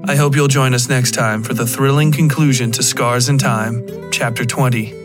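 A man narrating scripted horror fiction as a podcast host, with measured, dramatic phrasing. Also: medium pitch, steady tone. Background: plucked guitar music.

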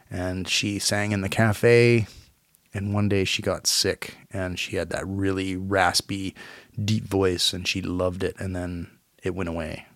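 A man in his forties talks through a cold, his low voice gravelly, nasal and hoarse. He rambles in a self-deprecating way on his solo podcast. The recording is dry and close. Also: a bit bright, no room sound. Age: 40s. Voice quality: raspy, nasal. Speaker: podcast host. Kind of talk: casual solo podcast monologue. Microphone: home recording mic.

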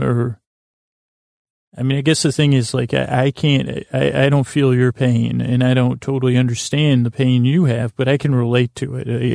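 A man hosting a podcast in a meandering, halting monologue, often repeating words and restarting sentences, with a self-deprecating manner. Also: medium pitch, vocal fry, darkish tone, no room sound, clean studio sound. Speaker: podcast host. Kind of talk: rambling monologue introducing a sleep podcast.

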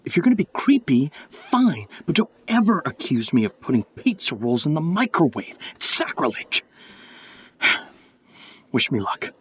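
Husky, wary housemate Steve. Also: male voice, on the high side, very animated, unhurried, heavily acted. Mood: frantic, exasperated. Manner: hesitant, sardonic, profane. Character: wary, exasperated, sarcastic male housemate Steve. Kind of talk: naturalistic audio drama dialogue.

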